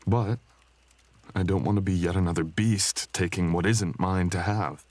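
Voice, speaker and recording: A man playing a brooding, gentle dragonkin stranger in an intimate roleplay audio, speaking softly and hesitantly in courtly phrasing. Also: fairly even intonation, normal speed, no room sound. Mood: earnest, guarded. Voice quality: low, gravelly, husky. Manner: soft, hesitant, tender, archaic courtly phrasing.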